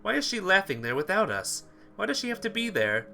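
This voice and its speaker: Man acting anguished teenage schoolboy, visual novel hero, high-pitched, impassioned, emphatic, forceful. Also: big pitch swings, fairly quick, creaky, slight background noise, full character voice. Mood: anguished, angry. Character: anguished teenage schoolboy pleading for his childhood friend.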